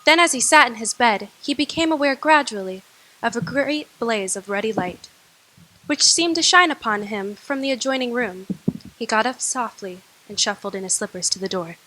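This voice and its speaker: A woman narrating a radio drama in a measured storytelling way. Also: a little high, lively intonation, pushed and bright, rough recording.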